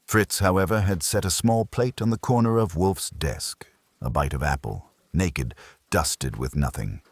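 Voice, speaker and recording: A man narrating a first-person detective story in a measured, dramatic storytelling way, with a husky low voice. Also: no echo. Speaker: audiobook narrator.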